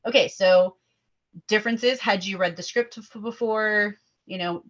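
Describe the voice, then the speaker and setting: A woman with a bright, forceful voice hosts a casual film discussion. She is animated and opinionated and wanders into asides. The sound is a little dull and lo-fi. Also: mid-range voice, measured pace. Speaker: host leading a table-read discussion.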